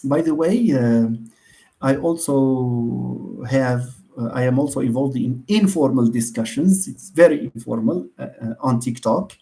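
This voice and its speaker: A man's voice: medium pitch, a little slow, gravelly, warm tone, low-quality recording. A man with a Moroccan accent, a university lecturer answering students' questions in an online session, hesitant with many fillers and restarts, and often asking and answering his own questions. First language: Moroccan Arabic.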